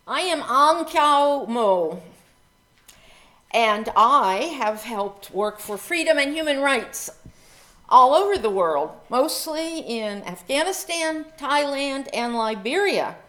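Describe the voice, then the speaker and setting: A woman's voice: sits high, animated, slow, fairly bright tone. A woman from Myanmar, an activist, giving a public speech in a conversational way with asides to her audience. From Myanmar (Rakhine State). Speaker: human rights activist and organization founder.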